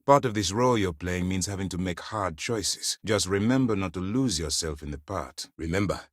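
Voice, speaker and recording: An actor playing a stern, principled space-navy commander in a video game, speaking with formal, authoritative, measured military diction. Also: male voice, sits low, no echo, clean studio sound. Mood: businesslike, commanding. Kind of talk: scripted video game character lines.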